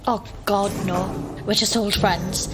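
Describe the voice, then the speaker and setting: A woman's voice: medium pitch, fairly even intonation, slow pace, background noise, close to an everyday voice. Dry, wry young woman, played by an actress. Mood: awkward, polite. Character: exasperated, wry young woman narrating as best friend. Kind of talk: audio drama narration and dialogue.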